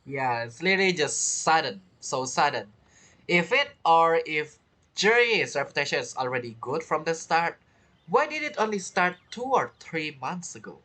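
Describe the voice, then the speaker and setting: A video game streamer giving casual commentary in halting, non-native English phrasing. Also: medium pitch, sing-song, sharp tone. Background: game music.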